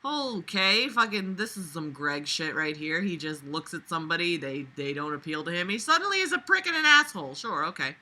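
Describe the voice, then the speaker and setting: A woman's voice: lowish voice, animated, brisk, hardly any pauses, bright, forceful tone. A sarcastic, exasperated woman reading a novel aloud with casual, sweary commentary. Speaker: reader and commentator.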